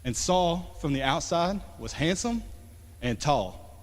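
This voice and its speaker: A man in his late twenties preaching a sermon as pastor, talking conversationally and swinging from emphatic to quiet. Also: a little high, lively intonation, slow pace. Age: 20s. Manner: conversational, rising to emphatic then dropping soft. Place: church sanctuary. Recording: long church hall reverb.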